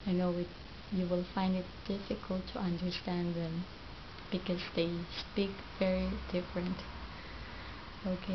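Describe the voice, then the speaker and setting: A Filipino woman whose first language is Tagalog, teaching basic words slowly and repeating each one twice. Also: fairly low pitch. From Philippines. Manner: slow, halting, repeats each word twice. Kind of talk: home video language lesson. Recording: dull lo-fi home video with background noise.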